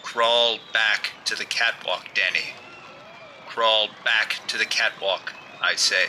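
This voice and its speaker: Man acting a mysterious avenger, very sharp forceful voice, slow deliberate pacing, commanding, ominous, solemn, old-time radio thriller. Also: on the low side, steady tone, a little hiss or hum, heavily acted, poor audio. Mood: commanding, hypnotic. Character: commanding, ominous mysterious male crime-fighter. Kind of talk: 1930s radio drama acting.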